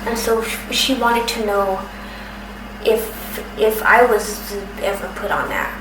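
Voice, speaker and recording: A woman in her thirties who stutters, speaking slowly with pauses and restarts in a personal testimony. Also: mid-range voice, animated. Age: 30s. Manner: stutters, with repeated sounds and restarts; slow, careful, with pauses. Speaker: documentary submitter. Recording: roomy, distant from the mic, noisy background.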